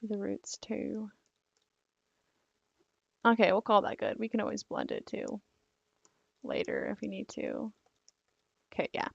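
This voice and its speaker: A young woman talks through a digital drawing tutorial in a rambling, filler-filled way. Her voice is gravelly and croaky because she is losing it. The recording is dry and a little dull, like a home desk mic. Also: mid-range voice, huge pitch swings, a little slow, pauses often, dry recording. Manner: rambling, filler-heavy, apologetic. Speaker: digital art tutorial host.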